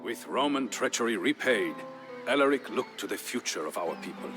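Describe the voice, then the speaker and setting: An actor playing a solemn Visigothic warrior chieftain, telling his people's history as measured, theatrical narration for a strategy game. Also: mid-range voice, expressive, lightly acted. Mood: somber, brooding. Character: grave Visigothic warrior chieftain, the dead king's brother-in-law, recounting history. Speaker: story narrator. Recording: narration over prominent music.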